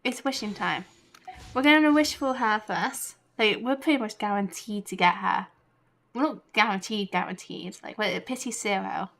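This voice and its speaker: A woman chatting casually on a game livestream, excitable and giggly, half talking to herself. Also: medium pitch, normal speed. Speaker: game streamer. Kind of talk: casual livestream chat while playing a video game.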